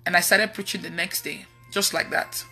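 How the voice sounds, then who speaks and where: A woman in her thirties with a Nigerian accent, preaching casually online in an animated, emphatic way and repeating phrases. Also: on the low side, normal speed, slightly rough, faint music behind. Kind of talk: casual testimony-style preaching on a livestream. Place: indoors in a room at home.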